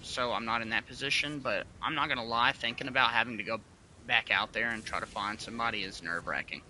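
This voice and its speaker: A man in his twenties chatting casually with viewers during a livestream, relaxed and swearing freely. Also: mid-range voice, fast talker, poor audio. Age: 20s. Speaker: streamer.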